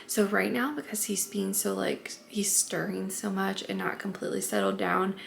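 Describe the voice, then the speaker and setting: A young woman vlogging casually at home as a stay-at-home mom, chatty and often hushed, congested and a bit raspy from allergies. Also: medium pitch, fairly even intonation, normal speed, slight background noise. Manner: chatty, lots of "like" and "um", often hushed to not wake the baby. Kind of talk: casual vlog talk to camera.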